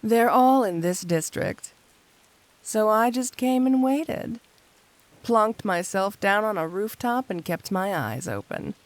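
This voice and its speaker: Slow, teasing supervillainess, played by a woman. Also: medium pitch, expressive. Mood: playful, flirty. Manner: coy, teasing, intimate, unhurried. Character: flirty, teasing female supervillain cat burglar, playfully menacing. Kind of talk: ASMR roleplay addressed to the listener.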